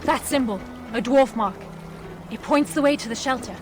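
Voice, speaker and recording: Woman playing a high-voiced, practical dwarf guide. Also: moderate pace, over loud music, natural voice. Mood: practical, dry. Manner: matter-of-fact, dry. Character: practical, dry-witted female dwarf rebel guide.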